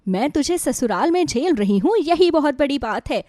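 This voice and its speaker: Haughty, high-voiced young sister-in-law, acted by a woman. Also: big pitch swings, normal speed, mellow, no echo, studio quality. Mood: scornful, bossy. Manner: bossy, taunting, scornful. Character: haughty, cruel young elder sister-in-law, bossy and scornful. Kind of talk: dramatized Hindi moral storybook.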